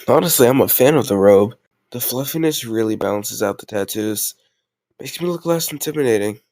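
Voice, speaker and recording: A man's voice: mid-range voice, animated, fairly quick, darkish tone, no echo, close to an everyday voice. A man playing a tough gang leader who is a playful, doting boyfriend in an intimate roleplay audio, teasing and mock-grumbling affectionately. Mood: teasing, tender.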